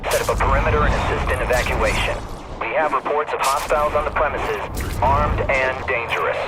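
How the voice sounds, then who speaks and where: A man's voice: mid-range voice, fairly quick. A man playing an official, urgent police dispatcher in a video game, clipped and formal over a radio. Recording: noisy police radio transmission.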